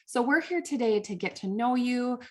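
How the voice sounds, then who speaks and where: A woman's voice: on the high side, expressive, moderate pace, darkish tone. A woman hosting a podcast interview, speaking in an enthusiastic, upbeat way as she introduces her guest. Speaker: podcast host.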